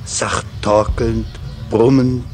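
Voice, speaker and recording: A man reading a literary text for a radio feature in a measured, scripted delivery. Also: mid-range voice, level tone, a little mellow. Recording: music behind the voice. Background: music.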